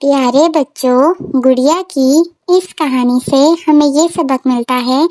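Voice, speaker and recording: A woman's voice: high voice, measured pace, darkish tone. A woman narrating a scripted children's story as storyteller, in a simple, repetitive manner.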